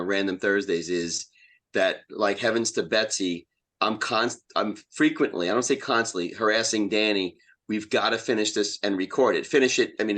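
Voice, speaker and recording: A man's voice: fairly low pitch, fairly bright tone. An American man in his fifties chatting casually and earnestly as an enthusiastic interview guest. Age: 50s. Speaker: community theater actor and playwright, podcast guest.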